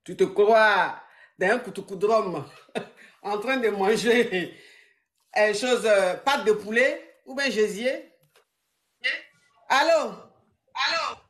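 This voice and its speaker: A woman's voice: mid-range voice, slow, pauses often. A woman, hosting a call-in advice stream and teasing callers with frequent laughter. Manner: mocking, laughs often, animated. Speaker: live-stream advice coach taking calls.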